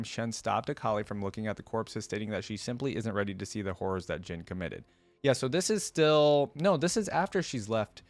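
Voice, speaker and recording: A man hosting a reaction video, reading aloud and breaking in with casual, curious commentary as he thinks out loud. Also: lowish voice, no echo. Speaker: host of a lore reaction video.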